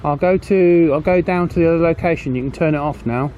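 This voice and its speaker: A man's voice: a little high, brisk, mellow, lo-fi sound, a little hiss or hum. A man hosting a casual hands-on demonstration, chatting and thinking aloud as he goes. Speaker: host of radio repair channel.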